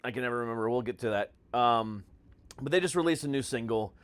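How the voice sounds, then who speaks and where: A man's voice: medium pitch, fast talker, smooth, dry recording. A middle-aged American man hosting a podcast in casual, profane talk, with a brash, mock-grandiose showman's manner. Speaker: podcast host.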